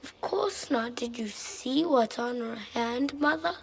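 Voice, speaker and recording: A performer playing a curious, polite, slightly eerie little boy in a video game, with a breathy child's voice. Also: medium pitch, measured pace, poor audio. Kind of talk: dramatic video game acting.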